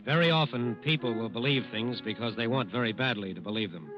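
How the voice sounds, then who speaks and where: A man's voice: medium pitch, a little fast. An American man delivering scripted 1940s radio narration in an earnest, admonishing manner. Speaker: narrator of a crime drama. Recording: dull old radio broadcast with music. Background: music.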